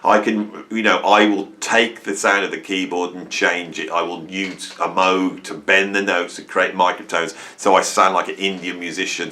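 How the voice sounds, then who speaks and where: A man's voice: sits low, pushed and bright. A man in his sixties hosting a music channel, talking casually to camera, enthusiastic and self-mocking with lots of restarts. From Britain.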